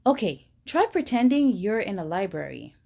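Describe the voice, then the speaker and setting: A woman reading a children's picture book aloud, slowly and expressively, with mock-stern emphasis. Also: mid-range voice, big pitch swings, vocal fry, a little mellow, soft background music. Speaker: storybook reader.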